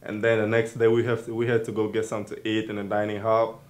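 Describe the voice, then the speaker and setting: A teenage boy recounts his first days at school in a low, flat voice. He rambles and restarts his sentences often. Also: quick pace. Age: teens. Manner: rambling, lots of "like". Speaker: interviewed student.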